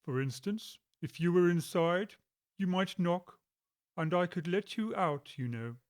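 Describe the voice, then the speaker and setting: An actor playing a stubborn, unbothered frog footman who speaks slowly and pedantically. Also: high-pitched. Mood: stolid. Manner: slow, flat, unbothered, pedantic. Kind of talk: audiobook drama acting.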